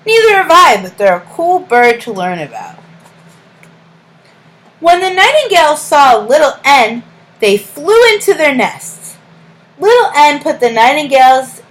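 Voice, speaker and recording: High-pitched woman reading slowly to children. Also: very animated, rough recording. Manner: sing-song, deliberate, addressing children with questions and praise. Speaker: teacher reading a children's book aloud. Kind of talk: read-aloud storytelling with questions to young children.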